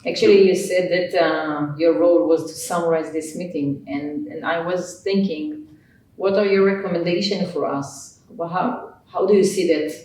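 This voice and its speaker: A woman interviewer, head of a patient association, asking questions with pauses and restarts. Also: fairly low pitch, fairly even intonation, darkish tone, slight background noise. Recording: very echoey hall, mic at a distance.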